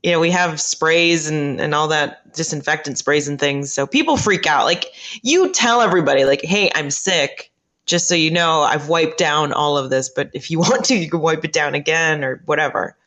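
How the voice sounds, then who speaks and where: Bright-voiced woman, halting and laughing. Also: deep voice. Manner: halting, laughs often, restarts. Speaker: radio host, guest. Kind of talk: casual chat.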